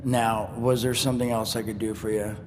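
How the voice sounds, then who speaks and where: An actor playing an eager, casual small-time instructor in a video game, chatty, a little hesitant and hoping for approval. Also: male voice, little pitch movement, brisk, lightly acted. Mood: eager, friendly. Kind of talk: video game character dialogue. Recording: long reverb with rumbling noise.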